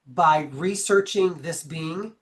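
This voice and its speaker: Man with a cold, measured and emphatic. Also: a little high. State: getting over a cold. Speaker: guest channeler. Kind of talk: casual interview chat.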